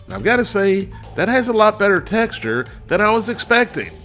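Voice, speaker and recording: A congested man with a slightly cracky voice hosts a food review and talks straight to his viewers. His voice sits fairly high for a man and swings up and down a lot. He keeps a folksy, chatty manner throughout. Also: very animated, fairly quick, fairly bright tone, faint music behind. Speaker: food reviewer, channel host. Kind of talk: casual video food review.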